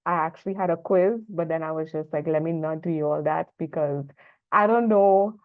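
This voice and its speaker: A young woman from Trinidad with a Trinidadian accent, giving a lively, chatty presentation full of asides. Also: low voice, moderate pace. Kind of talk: conference talk. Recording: tinny phone-like sound.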